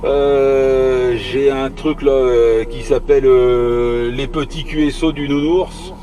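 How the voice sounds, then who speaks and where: A man's voice: medium pitch, fairly even intonation, slow, dark tone. A man chatting casually on CB radio while driving, hesitant and often repeating himself. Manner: hesitant, repetitive phrasing. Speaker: CB radio operator, channel host. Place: inside a vehicle on the road. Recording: noisy vehicle cabin, CB radio ambience.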